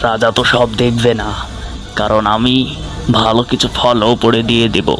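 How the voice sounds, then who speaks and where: A man's voice: mid-range voice, little pitch movement, normal speed, over music, close to an everyday voice, poor audio. A man voicing a sly, cocky cartoon villager who muses aloud and connives. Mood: conniving. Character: sly, scheming male villager, cocky and careless. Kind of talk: acted cartoon monologue.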